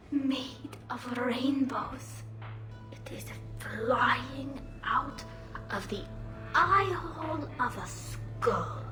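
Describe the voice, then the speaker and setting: A woman playing a giggly, drunk alien woman in a suit in a video game, rambling with slurred, drawn-out words. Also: a little high. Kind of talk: video game character acting. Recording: roomy, game music behind.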